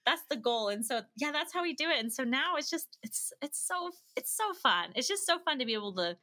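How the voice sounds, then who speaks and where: A woman's voice: sits high, very animated, fairly quick, sharp tone. A woman speaking as a podcast guest, sharing casual anecdotes and informally acting out bits of quoted dialogue.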